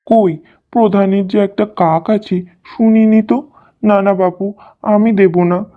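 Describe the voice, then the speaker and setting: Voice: medium pitch, fairly even intonation, slow pace, lightly acted. A performer voicing a humble, anxious village fritter seller in a cartoon, polite and pleading. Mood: polite, wary. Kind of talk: cartoon dialogue. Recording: dull audio with music underneath.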